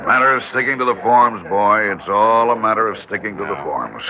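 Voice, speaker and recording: A man's voice: fairly low pitch, lively intonation, a little fast. A man playing a blunt, folksy, commanding older campaign boss in an old-time radio drama. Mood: brisk, assured. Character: older male small-town campaign boss, blunt and avuncular. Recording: tinny, noisy old radio broadcast recording.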